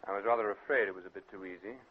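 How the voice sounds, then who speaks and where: An actor playing an authoritative British detective boss, commanding and terse, in a radio drama. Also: male voice, on the low side, very fast, phone-quality sound, natural voice. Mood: pensive, probing. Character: brisk, authoritative male private detective boss. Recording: thin, band-limited old radio drama.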